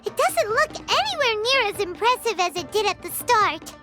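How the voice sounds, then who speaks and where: Squeaky, excitable little fairy companion. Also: female voice, moderate pace, soft background music, exaggerated voice. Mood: curious, concerned. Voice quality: squeaky, childlike. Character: small childlike floating fairy companion, excitable and blunt. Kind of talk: video game voice acting.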